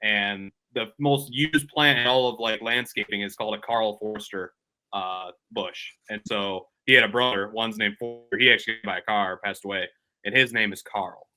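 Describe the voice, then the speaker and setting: A man's voice: fairly low pitch, steady tone, normal speed, pushed and bright, rough recording. A man co-hosting a casual sports podcast, telling rambling stories and laughing often. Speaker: podcast co-host. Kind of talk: casual chat.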